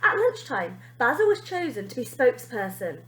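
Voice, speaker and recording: A woman reads a children's story aloud in a very high voice. Her delivery is expressive and emphatic, with lively swings in pitch. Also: moderate pace, echoey room. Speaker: teacher reading a storybook aloud.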